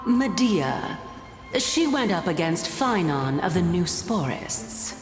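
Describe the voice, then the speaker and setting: An unhurried, lowish-voiced female sage from a fantasy game. She is playful, enigmatic and poised, teasing without ever losing composure. Her voice rings in a reverberant hall with music behind it. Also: sing-song. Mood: composed, confident. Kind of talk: video game voice acting.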